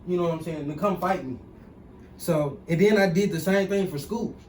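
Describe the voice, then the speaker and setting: A young man from Mississippi with a Southern accent speaks in easy, laid-back slang. His voice sits fairly high and sounds far from the mic. The room is roomy and echoing, with a faint hiss behind him. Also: moderate pace, darkish tone. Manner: laid-back slang, repeats "you know what I'm saying". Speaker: guest wrestler. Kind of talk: casual interview.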